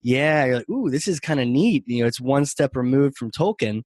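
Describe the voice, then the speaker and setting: A man from New Hampshire talks in a loose, chatty way, often stopping to laugh and restart his phrases. He speaks at an ordinary pitch. The sound is dry and close, with no room behind it. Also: lively intonation, normal speed, darkish tone, no echo. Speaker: podcast co-host. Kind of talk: casual chat.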